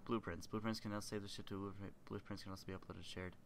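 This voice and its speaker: Young guy rambling over a game, stuffy nose from a cold, low voice w/ lots of fry. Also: little pitch movement, fast talker, slight background noise. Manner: rambling, thinking aloud with many uhs. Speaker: gaming channel host. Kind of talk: casual gameplay commentary.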